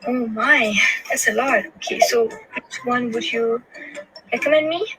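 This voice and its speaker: A woman's voice: on the high side, big pitch swings, unhurried, vocal fry, full character voice, low-quality recording. A woman playing a curious newcomer to local food in a student skit, with a polite, hesitant manner. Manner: polite and hesitant, many fillers. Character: curious young woman new to Malaysian food. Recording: skit video over loud background music.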